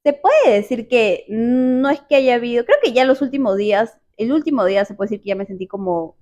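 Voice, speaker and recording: Animated Peruvian woman telling a story. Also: a little high. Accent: Peruvian Spanish. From Peru. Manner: animated storytelling with quick repeated words. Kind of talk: casual chat.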